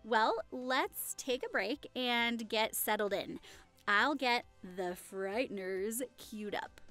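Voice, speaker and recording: Woman playing a bubbly high-voiced party hostess. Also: slow, fairly bright tone, faint music behind. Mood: warm, teasing. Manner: bubbly, welcoming, playful. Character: cheerful, teasing woman hosting a Halloween party, a devoted friend. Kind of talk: audio roleplay acting.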